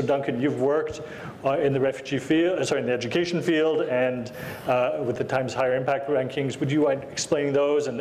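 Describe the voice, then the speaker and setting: A man's voice: mid-range voice, darkish tone, slight background noise. Courteous male panel moderator. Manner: measured, courteous introductions.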